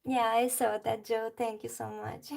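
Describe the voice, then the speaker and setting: A woman's voice: on the high side, flat delivery, measured pace, gravelly, a little mellow. A woman from Romania with a Romanian accent, chatting casually on a livestream while she colours and answers her chat. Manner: chatty, reads chat aloud, laughs often.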